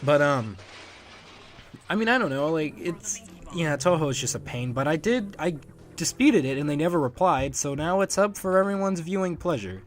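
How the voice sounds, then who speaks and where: A man giving a casual, unscripted solo commentary as a creator, in a rambling, halting way and often chuckling. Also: fairly high pitch, animated. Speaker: fan dub creator, commentator.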